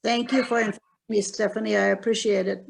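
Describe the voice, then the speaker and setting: An older woman with a German accent, an author telling her wartime memories in an interview, speaking haltingly with many pauses. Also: mid-range voice, little pitch movement, vocal fry, breathy edge. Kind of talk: interview, recounting memoir.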